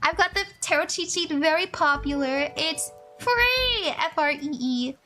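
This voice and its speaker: A woman's voice: high voice, expressive, a little slow, sharp tone, soft background music. A woman psychic chatting casually on a live stream, bubbly and giggly, thanking viewers often. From Hawaii.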